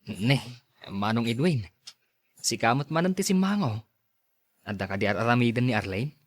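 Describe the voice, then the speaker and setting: A man's voice: medium pitch, animated, normal speed, no echo, lightly acted. Man acting a meek, earnest young suitor. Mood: respectful. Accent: Ilocano. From Philippines. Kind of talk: Ilocano radio drama acting.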